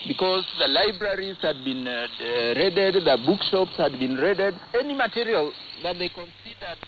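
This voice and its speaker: A middle-aged or older man with a Kenyan accent, recalling the past haltingly in a radio interview. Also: sits high, lively intonation. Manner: hesitant, halting delivery. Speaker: interviewee, former student activist. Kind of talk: recollection in an interview. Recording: noisy, hissy shortwave radio interview clip.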